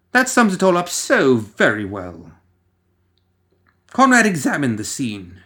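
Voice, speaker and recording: A man reading a story as a dramatic narrator, musing theatrically with deliberate pauses and rhetorical asides. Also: fairly high pitch, a bit bright. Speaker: audio fiction reader.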